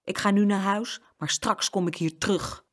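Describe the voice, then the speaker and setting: A woman's voice: mid-range voice, steady tone, normal speed, voice pushed up, natural voice. A woman voicing a small, stubborn young boy with a headstrong, plucky manner in a children's storybook. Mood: stubborn, boastful. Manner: tough-talking, headstrong. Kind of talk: children's storybook character reading.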